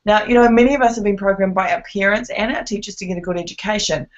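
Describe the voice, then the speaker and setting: A woman presents on a webinar, her voice a little croaky from a cold. She talks earnestly and persuasively, dotting her pitch with ums and uhs. The call audio is muffled and lo-fi. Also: fast. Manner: earnest, persuasive, many fillers. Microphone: conference call line.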